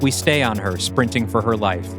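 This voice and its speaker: A man's voice: on the low side, moderate pace. A man reading scripted stage directions as the narrator of a dramatic audio play, with music behind him.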